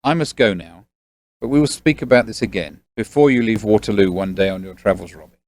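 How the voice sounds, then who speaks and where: Man playing a low-voiced, fatherly family friend. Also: a little mellow, close to an everyday voice. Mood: reassuring. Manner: measured, avuncular, reassuring. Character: fatherly, loyal banker friend reassuring a young boy. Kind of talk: audio drama acting.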